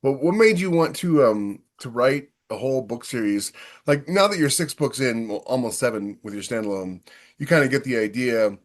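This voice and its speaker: A man's voice: medium pitch, lively intonation, normal speed. A man hosting a podcast, asking questions casually and working them out as he speaks. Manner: informal, thinks questions out aloud. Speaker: podcast host, interviewer.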